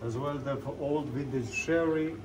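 A man with a Spanish accent gives visitors a guided cellar tour, speaking courteous, halting English. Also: a little high. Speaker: wine cellar guide, restaurant staff. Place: indoor wine cellar with ambient noise. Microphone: handheld camera mic at some distance. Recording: echoey room, clear background noise, handheld camera.

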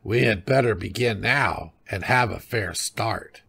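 A man's voice: lowish voice, a little slow, creaky, a bit bright, slightly rough, heavily acted. A man voicing a henpecked, hungry old woodman in a storybook, with a sly, confiding way of talking. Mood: worried.

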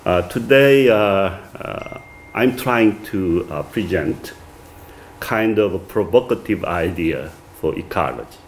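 A middle-aged man with a strong Korean accent giving an academic lecture at a podium, reading from a manuscript with many halting "uh" fillers. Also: sits low, expressive, a little hiss or hum. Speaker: visiting lecturer. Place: wood-paneled reception room, indoors.

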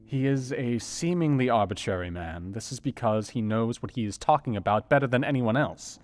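An actor playing an earnest Victorian doctor reading his letter in a radio play, in a measured, formal manner. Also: medium pitch, expressive, a little mellow, close to an everyday voice. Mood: earnest, concerned. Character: earnest male Victorian doctor writing to a friend. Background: music with plucked guitar.

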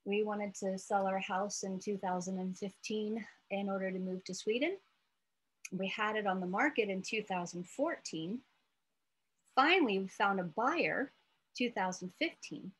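American lady late 40s, kinda husky, takes her time explaining stuff, thinks out loud. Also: medium pitch, a little slow, poor audio. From United States, Iowa. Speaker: therapist presenting an educational video letter. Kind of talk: informal slide lesson with storytelling.

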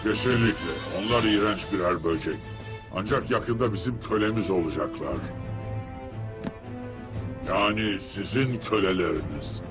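A sardonic alien underling, voiced by a man in a cartoon dub. His voice is low, formal and deferential, with sly jibes, and music plays clearly behind. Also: normal speed, long pauses, close to an everyday voice. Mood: dutiful. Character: subservient alien commander, slyly sardonic underling. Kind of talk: dubbed cartoon acting.

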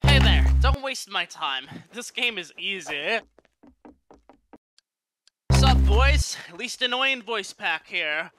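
A man with a high voice reads sardonic quips for a game voice pack. His pitch swings widely from line to line. Loud music plays behind him. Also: big pitch swings, slow, long pauses. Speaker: game announcer.

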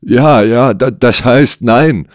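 A man voicing a sick, desperate police inspector who believes he is cursed, speaking in an urgent, halting manner for an audio story. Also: low voice, expressive, dry recording, studio quality, voice pushed up, heavily acted. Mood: flustered.

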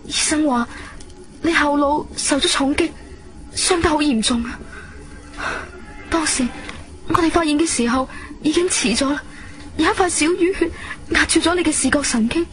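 An actress with a Cantonese accent playing a caring, steady wife in a radio drama, soothing and earnestly urging calm. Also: female voice, high voice, moderate pace, long pauses, natural voice. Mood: worried.

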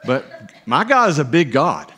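A middle-aged man preaching a sermon as pastor on a church stage, animated, with pauses and emphatic rises. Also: mid-range voice, a little hiss or hum.

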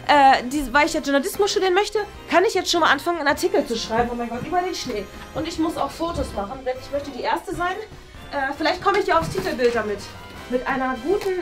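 A young woman playing an eager, ambitious schoolgirl journalist in a children's comedy skit, flustered and stumbling with enthusiasm. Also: sits high. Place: small classroom.

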